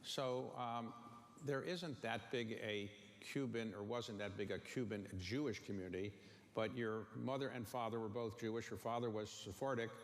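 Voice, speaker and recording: A man's voice: on the low side, steady tone, normal speed. A man interviewing a guest with blunt, probing short questions. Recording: faint noise in a long-reverb room.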